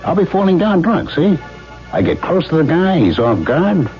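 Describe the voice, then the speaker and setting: An actor playing a cocky, slangy, streetwise carnival schemer in a radio drama. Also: male voice, fairly high pitch, very animated, moderate pace, soft background music. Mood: gleeful, scheming. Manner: wisecracking, streetwise. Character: tough, slangy, cocky male carny schemer. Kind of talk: 1970s radio drama acting. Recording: dull lo-fi old radio.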